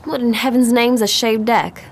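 A folksy, easily flustered Southern American woman acted by a woman in a 1920s radio drama. Her voice is high and exclaiming. Slight noise sits behind it. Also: steady tone, moderate pace, natural voice. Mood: puzzled.

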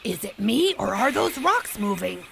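A woman voicing a wisecracking talking animal companion in a radio play, with creaky tone and dry, sardonic quips. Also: mid-range voice, sing-song, a little slow, fairly bright tone, slight background noise, full character voice. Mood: alarmed. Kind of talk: theatrical radio drama acting.

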